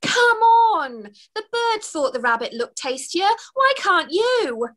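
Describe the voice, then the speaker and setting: A woman voicing a frantic little female fairy in a read-aloud storybook, pleading high and near tears. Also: big pitch swings, takes it slowly, fairly bright tone, full character voice. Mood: exasperated.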